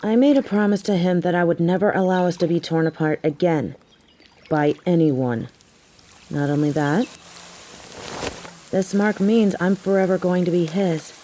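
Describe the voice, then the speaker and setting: A woman's voice: lowish voice, normal speed, pauses often, dark tone, slight background noise, natural voice. A woman acting in an audio drama as a biker girl, a devoted human mate with a teasing, sarcastic way of talking. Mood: resolute. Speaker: voice actor in an audio roleplay.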